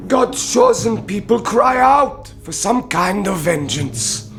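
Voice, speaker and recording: An actor playing a vengeful, hot-headed Saracen warrior in a radio drama, declaiming fiercely and impatiently. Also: male voice, sits high, lively intonation, slow, music in the background, exaggerated voice. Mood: contemptuous, insistent.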